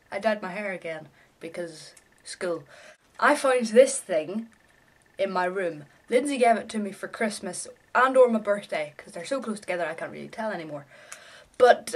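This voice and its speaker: A young adult woman vlogging casually in her bedroom, with an irreverent, offhand, sweary way of talking. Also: medium pitch. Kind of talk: casual chatty vlog to camera. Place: small bedroom, indoors.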